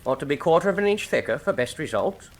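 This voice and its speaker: A man's voice: on the high side, lively intonation, quick pace. An actor playing a matter-of-fact, working-class retired hangman in a radio drama, with a folksy, plain-spoken, proud manner. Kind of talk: full-cast radio mystery drama acting.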